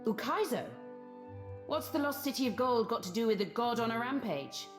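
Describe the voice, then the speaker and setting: A woman's voice: fairly high pitch, animated, normal speed, faint music behind, lightly acted. An actress voicing a bold, mocking female pirate captain in a video game, with a drawling theatrical delivery. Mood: bemused. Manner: drawling, theatrical, archaic flourishes. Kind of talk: video game character acting.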